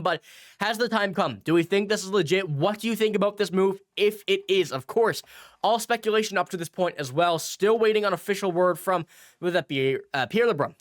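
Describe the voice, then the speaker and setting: A man's voice: on the high side, a bit bright, dry recording, clean studio sound. A young man from Newfoundland with a stuffy cold, rambling through sports news commentary with many restarts. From Newfoundland, Canada. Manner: rambling, restarts often with fillers. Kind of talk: casual commentary.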